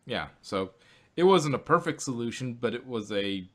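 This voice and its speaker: A man's voice: mid-range voice, animated, moderate pace, fairly bright tone. A man co-hosting a casual review chat, explaining references with frequent restarts. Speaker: podcast co-host.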